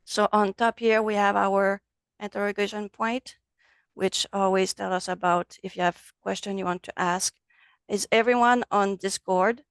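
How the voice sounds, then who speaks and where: A woman with a French accent, a native French speaker, teaching a relaxed online software class over a video call. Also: medium pitch, normal speed, clear, resonant tone, dry recording. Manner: friendly, loose, switches between English and French. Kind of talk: casual online class walkthrough.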